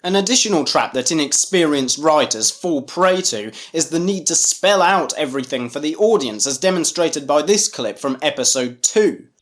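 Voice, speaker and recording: A man's voice: fairly high pitch, expressive, moderate pace, few pauses. A man narrating a scripted review in a critical, dryly sarcastic manner.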